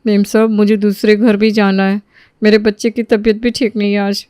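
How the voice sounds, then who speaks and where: A woman's voice: medium pitch, flat delivery, normal speed, mellow, clear, resonant tone, no room sound, studio quality, close to an everyday voice. A woman playing a put-upon, dutiful housemaid who pleads and stands up for herself in a dramatised moral story. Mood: deferential, pleading.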